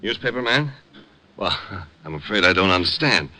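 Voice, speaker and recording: Flustered doctor, sharp tense voice. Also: male voice, low-pitched, lively intonation, full character voice. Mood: puzzled. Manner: halting, flustered. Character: fussy, hesitant, nervous male doctor. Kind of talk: 1950s radio drama acting.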